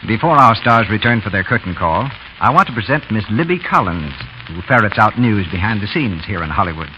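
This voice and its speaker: Hiss and muffling mark this old radio broadcast. A man announces in a lowish voice with very lively intonation. His delivery is polished, scripted and salesmanlike. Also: fairly quick. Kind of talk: scripted 1930s radio advertising. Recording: dull, noisy 1930s radio.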